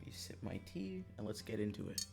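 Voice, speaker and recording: A livestreamer chatting casually to viewers in an animated, exasperated way, swearing and laughing often. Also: mid-range voice, brisk, vocal fry, soft background music. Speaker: livestreamer, gaming streamer.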